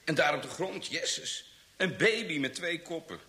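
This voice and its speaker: Junior sergeant: naive, excitable, very lively bright delivery, radio drama. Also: male voice, a little high, normal speed. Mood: amazed, squeamish. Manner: excitable, repeats words. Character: eager, naive male junior police sergeant. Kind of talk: radio play acting in Dutch.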